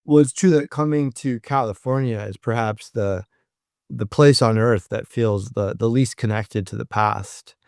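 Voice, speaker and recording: A man's voice: medium pitch, unhurried, creaky, a little mellow, dry recording, clean studio sound. A man who is a professor, answering interview questions thoughtfully, with frequent ums and restarts. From United States.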